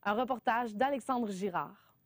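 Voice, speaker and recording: A woman anchoring a local newscast, reading her script in a measured, smooth way. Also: fairly high pitch, dry recording. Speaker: news anchor.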